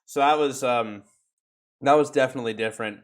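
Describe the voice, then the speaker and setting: A man's voice: mid-range voice, a little fast. Young man hosting a casual stream. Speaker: talk stream host. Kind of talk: casual chat.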